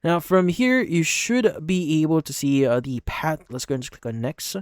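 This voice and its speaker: A man hosting a software tutorial, instructing step by step in a repetitive way. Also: fairly high pitch, sing-song, moderate pace, clean tone, no room sound, studio quality. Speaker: tutorial host. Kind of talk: screen-recorded software tutorial narration.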